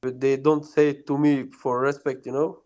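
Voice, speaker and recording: A young man from Azerbaijan on a video chat, answering in a calm, hesitant, measured way. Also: mid-range voice. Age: young adult.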